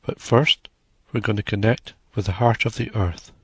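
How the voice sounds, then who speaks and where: A man narrating a guided meditation in a soothing, measured manner, leaving long pauses between short phrases. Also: on the low side, steady tone, fairly bright tone, slightly rough, dry recording.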